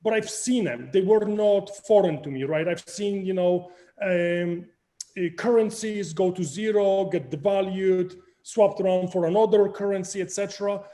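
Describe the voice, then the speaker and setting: A man's voice: high-pitched, normal speed. A man in his forties with a Polish accent, explaining his project energetically on a video call from a home room. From Poland. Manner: energetic, explains with hand gestures. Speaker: crypto project founder, guest. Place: home room with framed art and plants. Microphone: video call, webcam.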